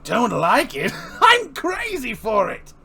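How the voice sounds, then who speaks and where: A man's voice: extremely high pitch, wildly swooping pitch, slow pace, gravelly, crowd noise, pitched above the performer's own voice, full character voice. Man playing a gleeful, sinister clown villain. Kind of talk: amateur dramatic comic book reading.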